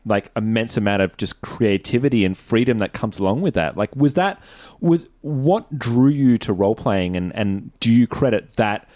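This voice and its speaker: A man's voice: on the low side, animated, moderate pace, a little mellow, no room sound, studio quality. An Australian man hosting a casual podcast interview, asking rambling, slightly hesitant questions.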